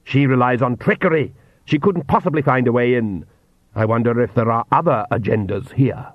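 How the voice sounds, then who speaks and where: A man's voice: medium pitch, moderate pace, no room sound, highs cut off, natural voice. A man voicing an arrogant vampire count and domineering father, lecturing in a pompous, imperious way. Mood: defensive, shouting.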